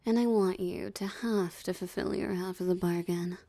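A woman's voice: fairly low pitch, fairly even intonation, moderate pace, creaky, mellow, no room sound. A woman voicing a possessive, mocking female vampire in an ASMR roleplay, with a teasing, theatrically smug drawl. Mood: gloating, commanding. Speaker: voice actor. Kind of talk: ASMR roleplay audio drama.

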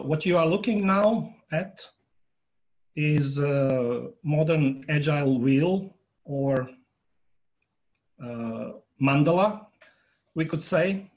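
A man in his fifties, a native Croatian speaker, giving a workshop talk on a video call from home, pausing often with fillers. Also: on the high side, dull audio. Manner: halting, many filler pauses, polling the audience. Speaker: workshop presenter. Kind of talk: online conference talk. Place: home room. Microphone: earphone headset on a video call.

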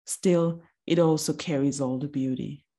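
Low, dark-toned woman reading slowly. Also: steady tone, husky. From Austria. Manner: slow, deliberate recitation. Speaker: writer reading a reflection. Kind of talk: measured reflective reading. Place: small room indoors. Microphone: video call.

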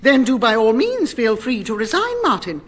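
A woman's voice: a little high, huge pitch swings, normal speed, husky, heavily acted. An actress playing an imperious, sarcastic middle-aged English woman who runs an airline in a radio sitcom. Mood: withering. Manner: withering, sarcastic, bossy.

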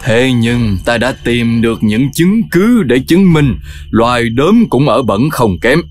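An aggrieved rat king from a children's cartoon. He declaims in a pompous, bossy way at a medium pitch, with music playing underneath. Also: very animated, slow pace. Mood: indignant, commanding.

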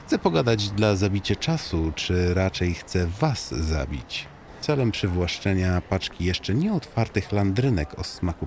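Male comic audiobook narrator, lowish register, highly varied intonation, mock-solemn guide addressing the listener. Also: a little hiss or hum. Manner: tongue-in-cheek mock-solemn storytelling with animated swings.

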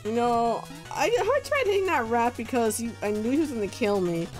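A man's voice: big pitch swings, moderate pace. A young man chatting casually while streaming a video game, playful and laughing often. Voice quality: naturally deep for him but light, giggly. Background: faint game music.

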